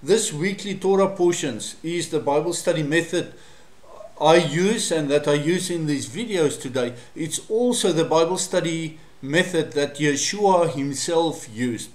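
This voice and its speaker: Afrikaans-man teaching in an echoey room. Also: a little high. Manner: measured and explanatory, with frequent fillers. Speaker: Bible study presenter. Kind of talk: solo teaching monologue to camera.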